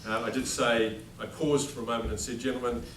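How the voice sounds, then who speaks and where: A man's voice: mid-range voice, level tone, fairly quick. A middle-aged man with a New Zealand accent, a headmaster giving a humorous, self-deprecating after-dinner speech without a microphone at a formal dinner. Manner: self-deprecating, dry jokes, speaks without a microphone to a room. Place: large dining hall at a formal dinner. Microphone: no microphone, recorded from across the room. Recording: distant echoey hall with background noise.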